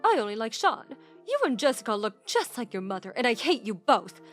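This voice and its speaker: A woman's voice: fairly high pitch, big pitch swings, a little fast, fairly bright tone, faint music behind. Woman putting on a haughty, nagging older grandmother. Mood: cold, hateful. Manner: scolding, haughty. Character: spiteful, nagging older grandmother, openly playing favorites. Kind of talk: acted dialogue in narrated story.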